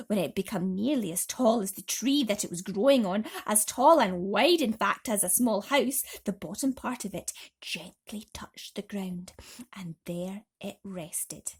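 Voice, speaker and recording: A woman's voice: mid-range voice, lively intonation, normal speed, bright, forceful tone, breathy edge. A woman reading a children's bedtime story expressively, with dramatic emphasis, as a host talking to young listeners.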